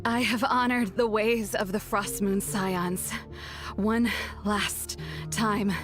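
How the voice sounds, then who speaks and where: An actress playing a proud, defiant turncoat woman in a video game, speaking in a hushed, measured and detached way. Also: medium pitch, fairly even intonation, over music, natural voice. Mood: solemn, resigned. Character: calm defiant woman, a turncoat leaving her sect, bitterly proud.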